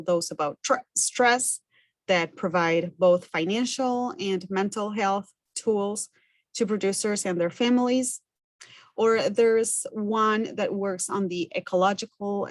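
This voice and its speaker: A woman's voice: on the low side, dry recording. A woman from Argentina whose first language is Spanish, speaking English with an Argentine accent as an educator, giving a methodical, earnest presentation that lists things one by one. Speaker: farm business educator. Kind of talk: recorded self-introduction presentation.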